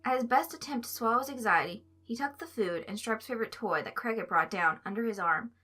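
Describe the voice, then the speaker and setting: A woman narrating a story aloud in an expressive, scripted reading style. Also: fairly high pitch, fast. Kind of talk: expressive fan fiction reading.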